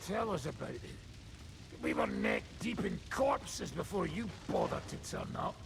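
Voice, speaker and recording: An actor playing a sardonic, foul-mouthed medieval fighter in a video game, with a gravelly voice. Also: male voice, sits high, wildly swooping pitch, measured pace, music in the background, heavily acted. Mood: bitter, wry. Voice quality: gravelly, creaky. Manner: sardonic, coarse, swearing. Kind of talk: video game drama acting. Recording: clear background noise and ambience.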